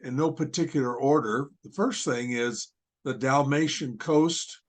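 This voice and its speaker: A man hosts a podcast interview at middle pitch. He talks in a rambling way, circling back with many fillers. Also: unhurried. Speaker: podcast host.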